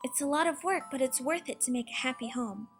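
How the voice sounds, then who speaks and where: A woman voicing an earnest, spirited little girl in a storybook, expressive and childlike. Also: high-pitched, fairly quick. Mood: busy, cheerful. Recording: music behind the voice.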